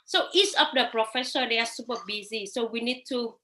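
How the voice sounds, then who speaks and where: A woman with a high, bright voice speaks on a live video-call webinar. English is not her first language, and her phrasing is halting, with many fillers and restarts. She talks students through practical advice. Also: slight background noise. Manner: hesitant non-native phrasing with frequent fillers and restarts. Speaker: webinar speaker sharing study-abroad experience.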